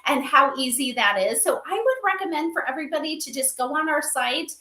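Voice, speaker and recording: Female livestream guest, upbeat and conversational, sharp bright tone, clearly echoey room sound. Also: sits high, expressive, brisk. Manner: enthusiastic, conversational, peppered with "you know". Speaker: interview guest, company advisor. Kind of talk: casual interview chat. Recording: roomy, echoey livestream audio.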